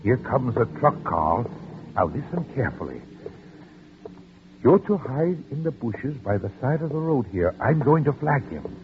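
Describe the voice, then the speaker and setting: An actor playing a frightened, loving elderly father, halting and repeating himself, in 1940s radio drama style. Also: mid-range voice, very animated, a little hiss or hum. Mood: urgent, hushed. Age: older. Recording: muffled old radio recording with music.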